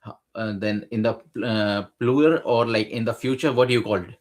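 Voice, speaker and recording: Low-voiced man explaining with many fillers. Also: fairly even intonation, moderate pace. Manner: hesitant, many fillers and restarts. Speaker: guest sharing exam experience. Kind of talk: casual conversational explanation.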